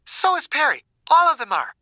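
Urgent female scientist in an outbreak thriller, played by an actress: desperate, blunt, high and sharp, closely dry-recorded. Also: wildly swooping pitch, fairly quick, no echo, heavily acted. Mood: urgent, grim. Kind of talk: dramatised audiobook acting.

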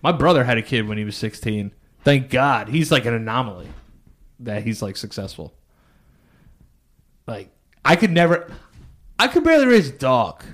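Lively, blunt thirty-year-old man. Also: mid-range voice, normal speed, long pauses. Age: 30s. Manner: blunt, crude and joking. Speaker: podcast co-host. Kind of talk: casual chat.

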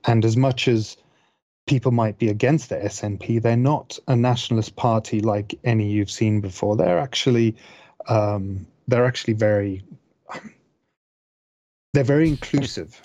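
A man from Zimbabwe with a lowish, mellow voice speaks on a podcast panel. He explains in a careful, halting manner, picking his wording as he goes. The recording is slightly dull. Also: no echo. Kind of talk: casual explanatory talk. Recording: dull, dry close recording.

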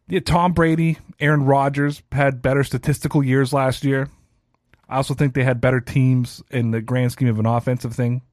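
A man's voice: mid-range voice, little pitch movement, moderate pace, a little mellow, no echo, studio quality. A man in his thirties hosting a solo sports podcast, talking casually and ranting with a self-deprecating streak. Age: 30s. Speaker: podcast host.

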